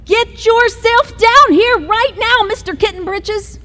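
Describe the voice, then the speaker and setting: A woman voicing a bossy mother cat who scolds her kitten in a high, strained voice. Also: slow, pushed and bright, big-room sound, full character voice. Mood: scolding. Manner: shrill scolding.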